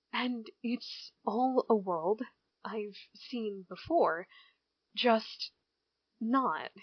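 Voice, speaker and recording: A young woman playing a bored small-town teenage girl in an audio drama, talking slowly and wistfully. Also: fairly high pitch, dry recording. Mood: amazed. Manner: slow, wistful, turning wondering. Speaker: lead character and narrator. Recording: dull lo-fi recording with music.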